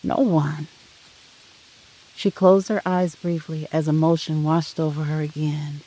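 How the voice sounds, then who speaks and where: Solemn audio drama narrator, medium pitch. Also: fairly even intonation, moderate pace, mellow, rough recording.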